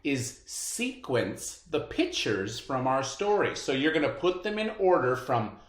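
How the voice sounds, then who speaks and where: The voice is mid-pitched and very animated, belonging to a teacher reading aloud to children. The delivery is unhurried and expressive, and it rings in an echoey room. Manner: expressive, dramatized delivery of story dialogue. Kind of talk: read-aloud storytelling and class instruction.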